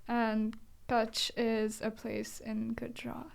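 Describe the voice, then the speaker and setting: Twenty-year-old female podcaster, fairly high voice, unhurried, conversational. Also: monotone, gravelly. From Mumbai, India. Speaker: podcast crew member. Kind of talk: casual self-introduction.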